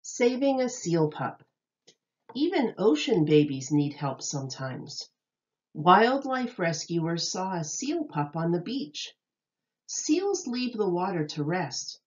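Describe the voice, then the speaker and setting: A woman teacher reading a children's nonfiction book aloud, enunciating carefully and deliberately for young listeners. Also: lowish voice, big pitch swings, darkish tone, highs cut off.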